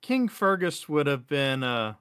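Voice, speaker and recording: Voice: medium pitch, big pitch swings, measured pace, fairly bright tone, clean tone. A livestream co-host chatting casually in an informal, sweary way.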